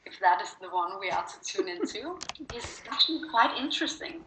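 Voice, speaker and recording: Bright, echoey Danish-accented woman telling her story. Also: mid-range voice, expressive, moderate pace, slight background noise. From Denmark. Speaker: interview guest, wellness speaker and coach. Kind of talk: personal storytelling in an interview.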